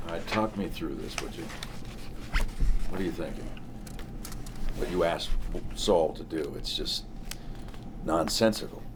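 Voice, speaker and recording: A man's voice: deep voice, measured pace, long pauses. A man acting the part of a controlling, persuasive middle-aged father and former drug boss, insistent and repeating himself, at a table read. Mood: probing. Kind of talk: acted script at a table read. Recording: noisy room recording.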